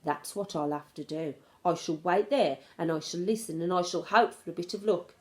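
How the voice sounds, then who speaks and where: A woman's voice: on the low side, moderate pace, close to an everyday voice. A woman voicing a plucky, eager young boy who has become a mouse, earnest and determined, in a storybook reading.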